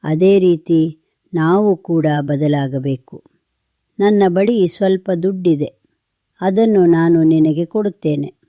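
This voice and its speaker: A woman voicing a gentle, elderly village mother in an animated moral story, speaking in a consoling, motherly way. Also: fairly low pitch, slow, pauses often, no echo.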